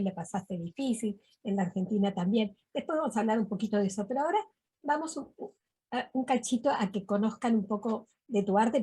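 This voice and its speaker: A woman's voice: medium pitch, a little mellow, husky. A woman interviewer with a Rioplatense Spanish accent, guiding the talk over a video call with short affirmations. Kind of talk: casual interview.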